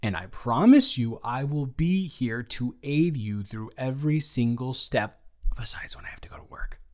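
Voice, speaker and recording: A man playing a gentle, blunt best friend. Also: medium pitch, lively intonation, moderate pace, close to an everyday voice. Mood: teasing, tender. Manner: gentle, reassuring, talks straight to the listener. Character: supportive young male best friend, blunt but kind. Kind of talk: improvised audio roleplay.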